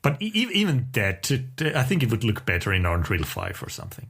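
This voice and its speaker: A man's voice: mid-range voice, wildly swooping pitch, a little fast, fairly bright tone, breathy edge. A man co-hosting a podcast in casual conversation, with an opinionated, wry way of talking. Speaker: podcast co-host.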